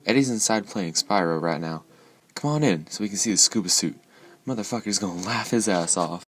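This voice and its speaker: A man voices a mocking street thug in a comic dub. The voice is low and husky, and he jeers in a laid-back, foul-mouthed way. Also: fairly quick, fairly bright tone.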